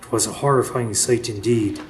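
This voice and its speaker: A man's voice: mid-range voice, fairly even intonation, moderate pace, lightly acted. A man acting in a radio drama as a seasoned older workmate who tells spooky folk tales with folksy drama. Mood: ominous. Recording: clearly noisy live stage recording.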